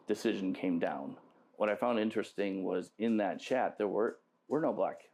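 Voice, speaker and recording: A man who served in the military, giving a measured, hesitant interview account with frequent pauses and ums. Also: low-pitched, a little mellow.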